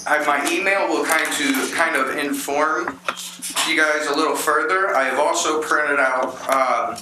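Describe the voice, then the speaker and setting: A man in his thirties, a local resident, giving hesitant public comment at a council meeting with many um fillers. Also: on the high side. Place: large council chamber. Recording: very echoey chamber, faint noise.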